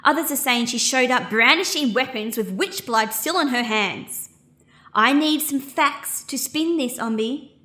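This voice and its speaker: An actress playing an inquisitive, brisk female city official who presses for facts in an audio drama. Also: high voice, bright, forceful tone. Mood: probing. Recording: echoey room sound.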